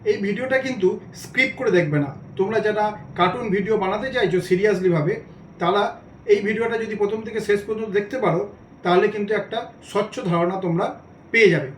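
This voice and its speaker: A man hosting a step-by-step software tutorial in voice-over. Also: high-pitched, fairly quick, slight background noise, rough recording. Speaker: tutorial host.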